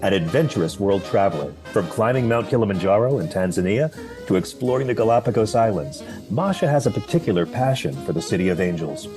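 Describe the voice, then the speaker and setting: Man, announcer, lowish pitch, scripted promo voice-over, guitar music behind. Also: expressive, quick pace.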